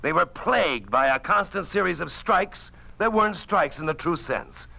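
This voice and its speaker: Sardonic boozy newsman, old radio drama, creaky sharp voice, very animated and mocking. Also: on the high side, moderate pace, exaggerated voice, poor audio. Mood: grim, biting. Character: cynical hard-drinking newspaperman, sardonic and eloquent. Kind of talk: 1950s radio drama acting.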